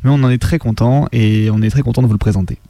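A man's voice: mid-range voice, fast talker, creaky, noisy background. A man from the suburbs of Paris speaking on a radio show, presenting and narrating first-person audio fiction. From France, Paris suburbs.

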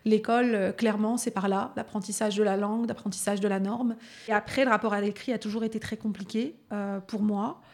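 A woman from the Lyon area of France tells personal stories in a podcast conversation. She hesitates often and fills her pauses as she goes. Her voice sits at an ordinary middle pitch. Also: steady tone, a little mellow. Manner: hesitant, frequent fillers, storytelling. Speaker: podcast guest and speaker. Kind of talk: casual reflective conversation.

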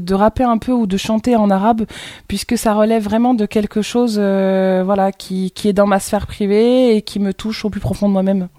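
A woman's voice: medium pitch, dark tone, no echo, studio quality. A woman rapper and native Arabic speaker, answering interview questions thoughtfully and searching for her words. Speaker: guest rapper.

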